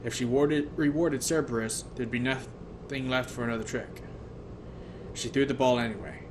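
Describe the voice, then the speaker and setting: A male audiobook reader with a mid-pitched voice narrates a novel in the first person. He sometimes trips and rereads phrases, and there is noticeable noise on the recording. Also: fairly even intonation, moderate pace.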